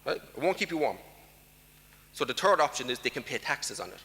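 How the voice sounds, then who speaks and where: Bright-voiced Irish man giving a talk. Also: mid-range voice, big pitch swings, fairly quick, long reverb tail. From Ireland. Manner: emphatic, rhetorical questions to the audience. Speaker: conference speaker. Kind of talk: conference talk. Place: large conference hall.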